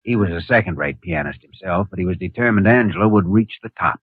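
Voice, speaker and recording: An actor playing a calm, earnest young male doctor who explains in measured clinical terms, in a 1950s radio drama. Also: deep voice, breathy edge, no echo. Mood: explaining. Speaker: hospital doctor. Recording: tinny old 1950 radio broadcast.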